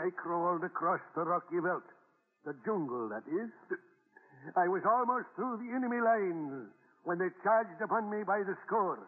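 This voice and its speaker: Here is a tall-tale-spinning old granddad with a husky, fairly high voice. He hams up every story in old-time radio drama style. The broadcast sounds tinny and reverberant. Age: older. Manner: theatrical storytelling, relishing tall tales. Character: boastful, tender old Scottish grandfather. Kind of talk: 1940s radio acting.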